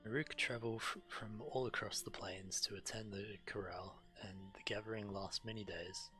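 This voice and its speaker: A man's voice: fairly low pitch, steady tone, moderate pace, sharp tone. A man streaming a video game, reading quest text aloud and commenting. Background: game music.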